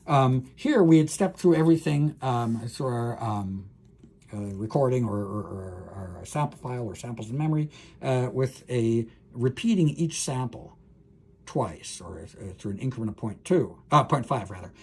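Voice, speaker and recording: A man's voice: medium pitch, expressive, normal speed, vocal fry, darkish tone, slightly rough. A man lecturing as a professor, explaining technical material informally, thinking aloud with frequent hesitations and self-corrections and speaking directly to the listener.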